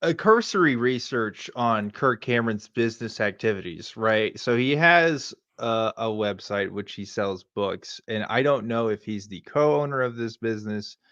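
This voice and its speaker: A man's voice: lowish voice, animated, normal speed, hardly any pauses, a bit bright, dry recording. Man in dull, dry audio, casual commentary. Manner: informal, hedging, frequent "like" and "right?". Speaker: show co-host.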